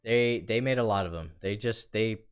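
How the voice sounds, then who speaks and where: Low-voiced man hosting a casual live stream. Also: moderate pace, smooth. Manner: enthusiastic, slangy. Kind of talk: casual chat with co-host and live chat.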